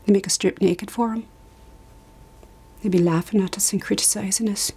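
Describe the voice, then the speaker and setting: A woman's voice: mid-range voice, fairly even intonation, darkish tone, breathy edge. Actress as anguished, haunted woman survivor. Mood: hurt, despairing. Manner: halting, emphatic repetition, sudden drops to whisper. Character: anguished woman survivor of an Irish Magdalene asylum, recalling abuse. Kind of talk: dramatized monologue, confessional testimony.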